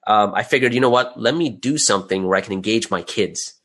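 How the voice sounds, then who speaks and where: Middle-aged guy with a lowish voice, chatting casually in an interview, lots of you knows. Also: fast, a bit bright. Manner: chatty, frequent "you know" and "right?" tags. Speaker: guest, audio drama creator.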